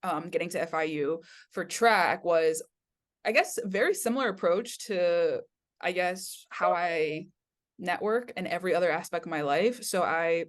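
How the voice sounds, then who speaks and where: Candid woman in her twenties, lowish voice. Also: moderate pace. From Taiwan. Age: 20s. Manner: chatty, candid, laughing easily. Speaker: guest. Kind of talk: casual interview.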